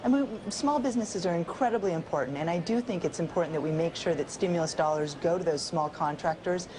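A woman's voice: on the low side, quick pace, a crowd in the background. A woman in her thirties, a remote TV guest, explaining economic policy fluently and upbeat. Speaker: think-tank economist guest. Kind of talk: live TV interview. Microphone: remote studio camera.

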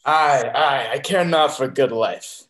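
A man in his twenties playing an earnest, simple gentleman in a Shakespeare reading over a video call. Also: medium pitch, takes it slowly, reverberant. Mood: merry, dim. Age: 20s. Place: bedroom.

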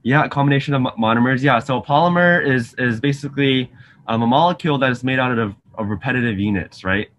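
A man's voice: mid-range voice, quick pace, fairly bright tone. A young man from Singapore, a graduate student, gives a casual online science lesson full of ums and questions to his students. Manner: friendly, chatty, frequent ums, asks students questions. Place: lab office with shelves, indoors. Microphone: video call webcam.

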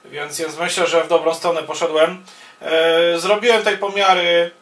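Higher-voiced man in a roomy shack. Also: little pitch movement, moderate pace, a bit bright. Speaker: amateur radio operator. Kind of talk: casual ham radio contact, spoken in turns. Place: small home radio room, indoors. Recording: roomy, echoing home-video sound.

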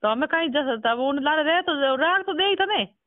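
A man's voice: very high voice, animated, moderate pace, no echo. A man with a Rajasthani accent in a casual phone conversation, asking questions and repeating words back. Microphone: telephone. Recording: tinny, narrow phone call recording.

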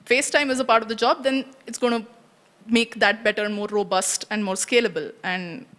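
A woman's voice: a little high, fairly even intonation, fairly quick, fairly bright tone. Woman answering on a panel. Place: indoor conference stage. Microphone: handheld microphone.

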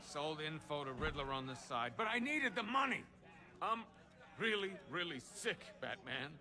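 An actor playing a shifty, talkative prisoner in a game, wheedling and making excuses. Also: male voice, fairly high pitch, very animated, normal speed, sharp tone, exaggerated voice. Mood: wheedling, taunting. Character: shifty, pleading male captive informant, weaselly, talkative. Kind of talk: video game character acting.

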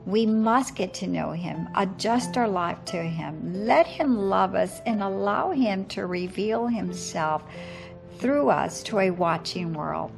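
A woman reads a scripted Bible study devotional in a measured, enunciated manner. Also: medium pitch, animated, creaky. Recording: background music under voice. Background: music.

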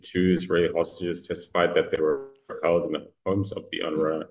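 A man with a very low voice reads news items in a flat, plain way. He sounds like a co-host calling in over a video call. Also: a little fast. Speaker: podcast co-host. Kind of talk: reading news out loud.

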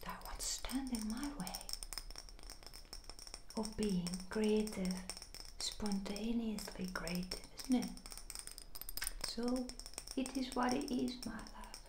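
A middle-aged woman doing soft, breathy, mostly whispered ASMR, speaking soothingly and intimately to the listener with repeated phrases. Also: medium pitch, slow, pauses often. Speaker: ASMR creator. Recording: roomy echo, clear background noise. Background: noise and clicking of tapped glass.

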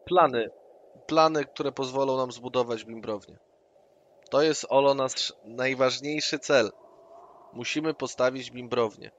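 A man playing a tabletop game online, speaking in character in a smooth, persuasive way and using his companion's name again and again to coax him. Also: mid-range voice, a little slow. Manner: coaxing, keeps repeating the other's name. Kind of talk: in-character roleplay, persuasive schemer. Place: home, online session. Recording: online session audio with faint noise.

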